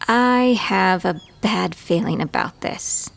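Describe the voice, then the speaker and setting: A woman's voice: mid-range voice, takes it slowly, vocal fry. A woman playing a hesitant, apologetic, anxious young fighter in an audio drama. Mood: uneasy.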